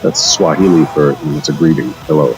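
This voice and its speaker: A man co-hosting a casual podcast chat, raspy and exhausted, talking in gruff bursts. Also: very deep voice, fairly quick, over music, rough recording. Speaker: podcast co-host.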